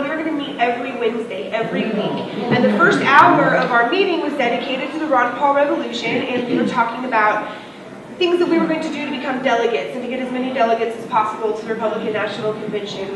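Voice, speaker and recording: A woman's voice: high-pitched, quick pace. A woman from Missouri giving a public talk to a live audience as an activist, speaking loosely with fillers, her nose stuffy and throat scratchy. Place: large echoey room. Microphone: distant microphone. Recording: echoey distant room recording, heavy noise.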